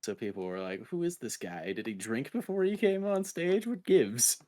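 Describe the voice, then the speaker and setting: A man's voice: medium pitch, very animated, moderate pace, a little mellow, no room sound. A man co-hosting a podcast, giving his opinions on video games in informal, conversational talk. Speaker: podcast co-host. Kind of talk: casual video game podcast chat.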